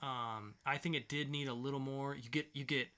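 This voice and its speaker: A man's voice: mid-range voice, steady tone, moderate pace, bright, forceful tone. A man co-hosting a podcast, reviewing comic books in an informal, enthusiastic way. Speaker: podcast co-host. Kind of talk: casual comic book review chat.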